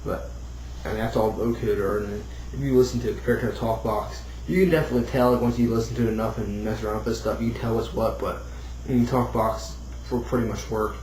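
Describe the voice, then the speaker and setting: A man giving a casual, unscripted hobbyist demo, rambling and thinking out loud as he goes. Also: on the low side, fairly even intonation, quick pace. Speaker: hobbyist demonstrating a homemade build. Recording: roomy echo, clear background noise.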